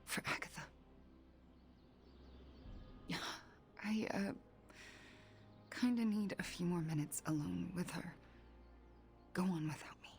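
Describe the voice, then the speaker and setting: A woman playing a fragile, self-loathing young sorceress in a video game, hushed and sighing. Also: fairly low pitch, a little slow, pauses often. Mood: subdued, weary. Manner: hushed, sighing, breathy on heavy words. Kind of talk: video game voice acting, naturalistic drama.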